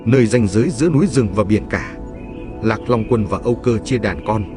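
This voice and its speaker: A man reading a scripted legend as a storyteller, in a solemn, measured manner. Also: lowish voice, over music. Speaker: narrator.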